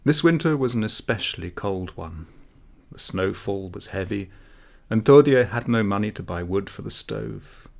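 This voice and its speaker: A man reading a comic folk tale aloud as a storyteller, in a measured, expressive way. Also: fairly low pitch, vocal fry. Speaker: audiobook reader, storyteller.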